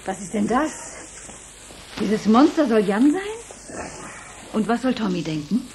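Anxious dramatic woman, slow n very animated, noisy radio play. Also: medium pitch. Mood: appalled. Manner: fretful, dramatic. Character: anxious, easily panicked woman.

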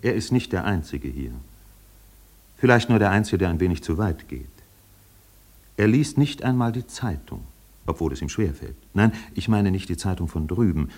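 An actor playing a wry narrator in a literary radio play, telling it in a measured, lyrical way. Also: male voice, low voice, very animated, darkish tone, full character voice.

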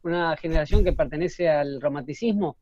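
A man speaks in a mellow, dark tone as a casual co-host. He puts clarifying questions in a conversational way. The recording is dull and lo-fi. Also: a little high, brisk. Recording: muffled, roomy lo-fi audio.

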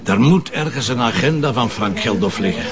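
An actor playing a stubborn, irritable police commissioner with a Flemish accent, talking colloquially in naturalistic TV drama dialogue. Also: male voice, mid-range voice, expressive, a little fast, muffled recording, crowd noise, close to an everyday voice. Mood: businesslike. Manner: colloquial, argumentative.

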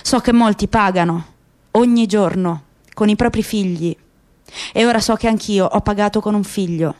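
A woman voicing a grave, proud, disillusioned Palestinian father in a radio play, with solemn, deliberate, impassioned speech. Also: mid-range voice, a little mellow, natural voice. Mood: grave resignation.

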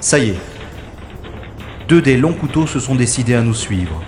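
A wry, cocky secret agent of outer space speaks in a medium-pitched voice played by a man. The sound is roomy, with music clearly behind him, as in a science-fiction radio play. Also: animated, normal speed, darkish tone, close to an everyday voice. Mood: brisk, focused. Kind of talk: French sci-fi audio drama, first-person narration and dialogue.

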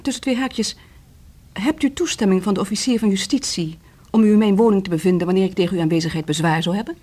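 A woman's voice: medium pitch, fast talker, mellow, lightly acted. An actress playing a proud, defiant divorced woman in a radio play, speaking formally and with icy hauteur. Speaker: radio drama actor.

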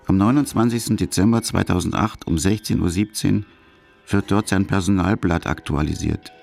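A man's voice: low-pitched, moderate pace, creaky, a little mellow, faint music behind. A man narrating a radio documentary in a sober, scripted manner, as a factual reporter of a criminal case.

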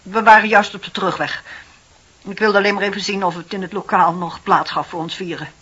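A woman's voice: mid-range voice, steady tone, fairly quick, fairly bright tone, slightly rough. An actress playing a prim, proud older married woman in a radio play, stiff, reproachful and defensive. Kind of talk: Dutch radio play acting. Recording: dull old radio recording.

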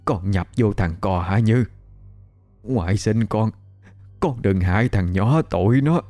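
A man voicing a blind old grandmother, halting. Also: on the low side, faint music behind, lightly acted. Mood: shaken tender. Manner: halting, choked and soft. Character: blind old grandmother, shaken but tender.

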